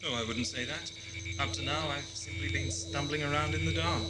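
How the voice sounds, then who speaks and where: A man's voice: on the high side, steady tone, moderate pace, music in the background, lightly acted. An actor playing a witty, wry time-travelling alien hero in a sci-fi audio drama, bantering as he talks. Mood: dry sparring.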